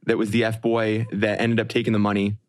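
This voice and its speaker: A thirty-year-old man with a fairly low voice, co-hosting a podcast in a dry, close-sounding room. He talks in a chatty, reflective way, full of "like" and "um". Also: completely flat delivery, brisk, no room sound. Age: 30s. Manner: chatty, filler-heavy, reflective. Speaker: podcast co-host. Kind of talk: casual podcast conversation.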